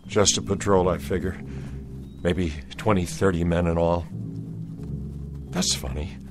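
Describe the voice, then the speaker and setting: An actor playing a stern, resolute Confederate cavalry soldier in a radio drama, with a folksy, commanding Southern US accent. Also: male voice, low voice, flat delivery, measured pace, music in the background. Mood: wary, certain.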